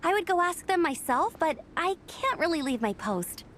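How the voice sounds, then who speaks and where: A woman's voice: very high-pitched, very animated, moderate pace, a bit bright, a little hiss or hum, full character voice. A woman voicing a friendly, chatty young female knight who helps organize an event, acted for a game. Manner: chatty and upbeat. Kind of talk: game voice acting.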